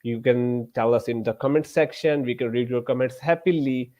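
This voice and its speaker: A man's voice: medium pitch, normal speed, darkish tone. A man from Pakistan with a Pakistani English accent, hosting a student tech session in an upbeat, welcoming way. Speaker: student club lead, host.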